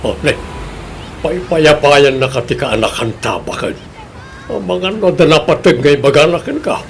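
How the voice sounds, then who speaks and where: A man's voice: a little high, lively intonation, moderate pace, creaky, a little hiss or hum. A man playing a suspicious, grumbling older village husband with an Ilocano accent in a Filipino radio comedy drama.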